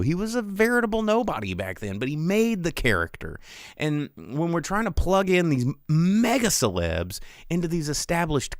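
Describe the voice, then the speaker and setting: A man's voice: fairly high pitch, no echo, clean studio sound. A man hosting a podcast, talking casually in a rambling, emphatic, exasperated rant. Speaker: podcast host.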